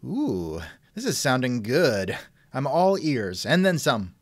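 A man's voice: medium pitch, huge pitch swings, slow, no room sound. A man hosting a scripted comedy interview show in a theatrical, wisecracking manner, close to the mic. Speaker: podcast host and interviewer.

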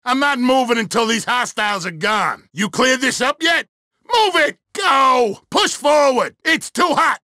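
An actor playing a bossy, irritable older intelligence official in a video game, grumbling and barking orders. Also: male voice, very high-pitched, animated, unhurried, pushed and bright, no room sound, clean studio sound, full character voice. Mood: urgent barking. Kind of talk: video game character dialogue.

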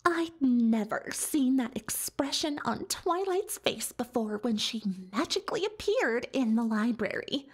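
Haughty female unicorn magician, high voice, slow delivery, theatrical, boastful, cartoon-pony ASMR storytelling. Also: lively intonation. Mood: haughty, defensive. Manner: haughty, theatrical, third-person self-reference, conspiratorial asides. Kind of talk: ASMR roleplay monologue, storytelling.

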